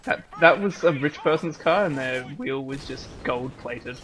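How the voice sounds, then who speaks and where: A man's voice: medium pitch, measured pace. A man giving casual let's-play commentary, joking and rambling as he riffs on the game. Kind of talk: casual gaming banter.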